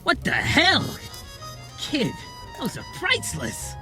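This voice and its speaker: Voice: high-pitched, huge pitch swings, slow pace, music in the background, heavily acted. An actor playing a shifty, streetwise male bartender with slangy wise-guy talk in a noir audio drama. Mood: indignant.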